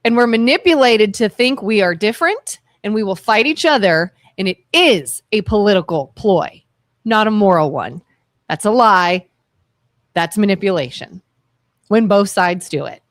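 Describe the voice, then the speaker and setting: A woman's voice: medium pitch, lively intonation, normal speed, dry recording, clean studio sound. Woman cohost, firm and insistent, voice jumps up and down a lot. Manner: emphatic and insistent. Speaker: radio talk show co-host. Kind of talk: casual opinion talk. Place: studio.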